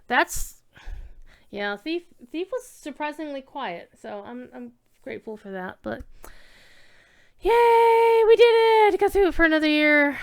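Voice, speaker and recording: A woman's voice: high-pitched, a bit bright. A woman livestream host chatting casually to her viewers in a rambling, informal, effusive way.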